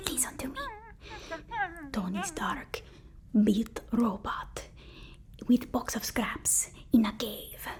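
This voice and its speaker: Woman playing a whispering villain's anxious assistant. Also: on the high side. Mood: hushed, stern. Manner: whispered, halting, soothing and deferential. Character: devoted, anxious female assistant to a supervillain. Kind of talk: ASMR roleplay.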